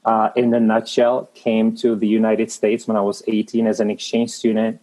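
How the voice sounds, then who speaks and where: A man's voice: lowish voice, completely flat delivery, normal speed, mellow. A man in his thirties from the Czech Republic with a Czech accent, a guest in an interview speaking in an earnest, encouraging way with frequent restarts. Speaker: guest, creative manager. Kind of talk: motivational interview talk.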